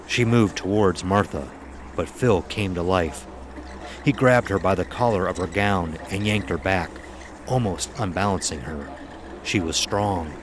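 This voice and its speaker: A man's voice: sits low, noisy background. A man narrating a scripted horror audio drama as its storyteller.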